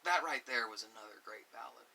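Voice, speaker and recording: A man's voice: mid-range voice, fairly quick, bright, forceful tone. A man rambling informally in an ASMR music talk, musing and opinionated, often drifting into asides. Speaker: host of an ASMR channel. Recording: distant mic with hiss.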